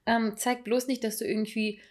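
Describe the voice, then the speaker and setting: A woman's voice: on the high side, flat delivery, moderate pace. A woman around thirty in casual conversation, telling a personal story candidly, with restarts and self-mocking asides. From abroad, came to Germany at ten. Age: 30s.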